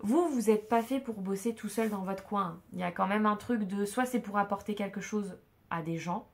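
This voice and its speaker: A woman's voice: medium pitch, moderate pace, gravelly. Stuffy-nosed woman reading tarot. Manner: hesitant, many fillers and restarts. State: stuffy nose from a cold. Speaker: tarot card reader. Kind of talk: casual spoken tarot reading to viewers.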